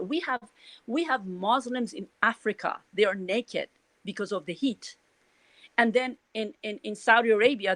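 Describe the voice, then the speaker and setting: A woman's voice: medium pitch, a bit bright, no room sound. A middle-aged woman from Iran with a Persian accent, speaking her views in a casual, earnest way, full of restarts and "you know". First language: Farsi. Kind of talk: casual spoken reflection.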